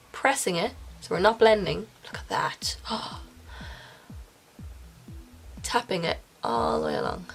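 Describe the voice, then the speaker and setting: A young woman with a blocked, nasal voice talks through her makeup in a loose, friendly way, stopping to correct herself now and then. Her voice sits at an ordinary pitch. Faint music plays underneath. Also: vocal fry. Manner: chatty, self-correcting, breaks into soft whispered asides. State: congested, nasal. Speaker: makeup vlogger. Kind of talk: casual makeup tutorial talk. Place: indoors at home, filming a close-up makeup tutorial.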